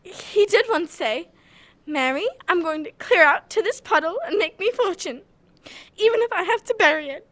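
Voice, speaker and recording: A woman acts a forlorn young village bride in a radio drama. Her voice is extremely high, bright and sharp, with a plaintive, melodramatic delivery. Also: lively intonation, normal speed. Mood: wistful.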